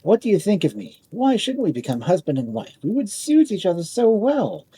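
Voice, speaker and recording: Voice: mid-range voice, huge pitch swings, moderate pace, warm tone, husky, no room sound, voice pushed up, heavily acted. A storyteller voicing a boastful, lovesick toy spinning top in a fairy tale, earnest and self-important. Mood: eager, boastful.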